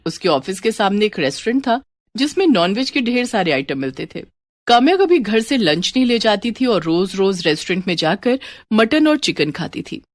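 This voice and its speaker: Animated woman storyteller, dry sound. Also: mid-range voice, moderate pace, no room sound. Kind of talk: scripted story narration.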